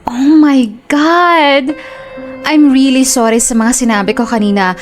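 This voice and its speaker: A cheerful young woman, voiced by an actress in a radio drama, a little high-pitched, chatty and earnest. She also narrates, over faint background music. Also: fairly even intonation, measured pace. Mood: embarrassed, happy.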